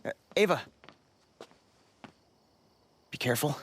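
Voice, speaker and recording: Eager, husky young adventurer. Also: male voice, a little high, big pitch swings, takes it slowly, fairly bright tone, full character voice. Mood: concerned. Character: Lucas, earnest eager young adventurer, male. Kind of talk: video game cutscene voice acting.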